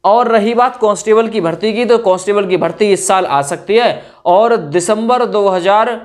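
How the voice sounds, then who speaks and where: A man's voice: high-pitched, moderate pace. A man gives an informal update to viewers in a conversational, explanatory way. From India. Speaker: YouTube host giving recruitment exam updates.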